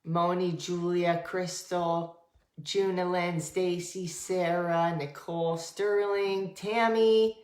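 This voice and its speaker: A woman with a notably low voice hosts a casual talk show, pausing often with "um" as she gathers her thoughts. The sound is roomy and echoey. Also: flat delivery. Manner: warm, hesitant, many "um"s. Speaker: podcast co-host, interviewer. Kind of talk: casual interview.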